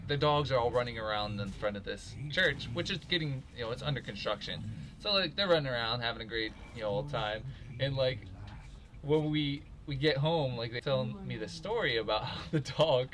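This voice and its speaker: A man's voice: mid-range voice, animated, normal speed, a bit bright. A young man telling stories casually during a game, rambling along with plenty of "like" and "you know". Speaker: gamer, co-host. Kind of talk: casual storytelling over gameplay. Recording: clear background noise.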